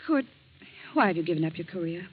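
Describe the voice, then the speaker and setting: A woman's voice: fairly low pitch, lively intonation, quick pace, slightly rough. An actress playing a devoted, idealistic young woman with a poised, proper manner in a 1940s radio drama. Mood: earnest. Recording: dull, hissy old radio recording.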